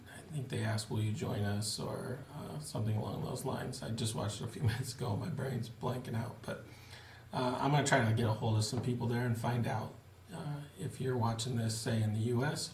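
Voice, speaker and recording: A man in his forties giving a relaxed, plain-spoken video update to supporters from a small home office, with frequent fillers. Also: medium pitch, slight background noise. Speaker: missionary giving a family update. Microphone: webcam at desk. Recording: roomy webcam audio with faint ambience.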